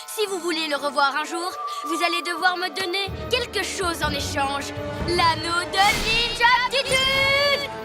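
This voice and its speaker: A woman's voice: extremely high pitch, animated, a little slow. A woman voicing a boastful, scheming young ninja villain in a children's cartoon, theatrical and sarcastic. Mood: mock pity, gloating. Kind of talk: animated children's cartoon acting. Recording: loud music behind the voice.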